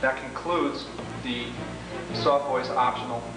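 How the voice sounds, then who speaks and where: A man's voice: mid-range voice, big pitch swings, slow pace, over loud music. A man presenting an instructional training tape as a product demonstrator, methodically going through each step in formal wording. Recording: echoey, noisy, distant 1980s homemade video.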